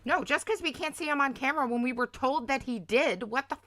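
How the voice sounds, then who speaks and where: A woman's voice: high voice, brisk, a bit bright. A woman hosting a casual reaction livestream, talking back in a scornful, argumentative, mocking way and swearing freely.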